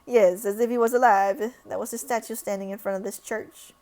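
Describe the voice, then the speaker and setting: A woman voicing a calm, blunt young man with a cold, measured manner. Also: mid-range voice, natural voice.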